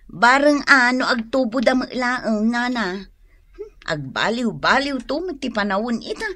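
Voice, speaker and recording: Bright-voiced, pleading Ilocano farm wife. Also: female voice, fairly high pitch, animated, a little slow, no room sound, heavily acted. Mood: concerned. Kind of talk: Ilocano radio comedy-drama acting.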